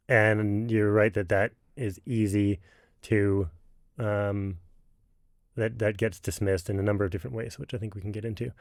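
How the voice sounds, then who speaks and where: Man, podcast host, casual, halting speech, slightly low voice, dry sound. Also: steady tone, no echo. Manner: halting, many fillers and restarts. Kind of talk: casual interview hosting.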